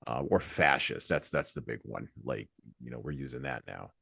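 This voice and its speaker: A man hosting a podcast, talking reflectively about books in a halting, deliberate way with emphasis on key words. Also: extremely low pitch, vocal fry, bright, forceful tone, husky, dry recording, studio quality. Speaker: podcast host. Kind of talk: reflective book discussion.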